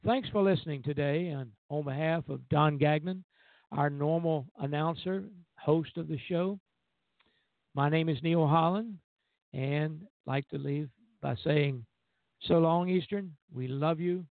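An elderly man hosts a radio show in a halting, reminiscing style. His voice is very gravelly and sits a little high for a man. It comes through narrow and dry, like a phone call. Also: no echo. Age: 70s or older. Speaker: radio show host, retired airline pilot. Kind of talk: informal radio show narration and sign-off. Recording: tinny, narrow phone-line sound.

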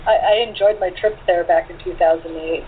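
A woman's voice: lowish voice, steady tone, brisk. An American woman chatting casually as a guest in a remote group interview. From Portland, Oregon, USA. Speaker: cast member being interviewed. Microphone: online call. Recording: thin phone-like call with background noise.

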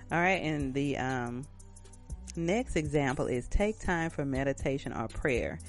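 A woman's voice: rumbling low, gravelly. Chatty, encouraging woman host, slightly stuffy. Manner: chatty, encouraging, addresses listeners directly. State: stuffy-nosed from allergies. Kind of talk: casual self-care advice talk.

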